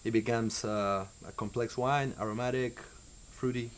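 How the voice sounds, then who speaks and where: A young man with an Argentine accent, a winemaker answering interview questions in a measured way, with fillers and restarts. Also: medium pitch, fairly even intonation. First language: Spanish. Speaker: winemaker guest.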